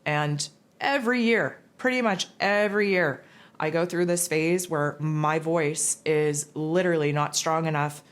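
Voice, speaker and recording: Hoarse low-voiced woman. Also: a little slow. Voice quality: raspy. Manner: rambling, loose, with many fillers and restarts. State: hoarse from losing her voice. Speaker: spiritual forecast host. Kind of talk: casual spiritual monologue.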